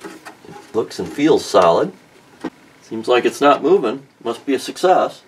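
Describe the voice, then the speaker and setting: A man's voice: medium pitch, big pitch swings, measured pace, slight background noise, rough recording. A middle-aged man narrating a repair at his workbench in a casual, thinking-aloud way with many ums and restarts. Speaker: car restoration vlogger. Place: home workshop at a workbench.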